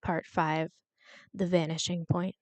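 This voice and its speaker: A woman's voice: lowish voice, level tone, measured pace, no room sound. A woman reading a scripted horror story as its narrator.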